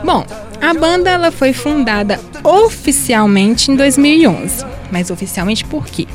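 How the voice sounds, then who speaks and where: A woman's voice: sits high, lively intonation, measured pace, soft background music. A woman with a Brazilian Portuguese accent co-presenting a radio show in a playful, chatty way with jokey asides. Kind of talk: casual radio chat.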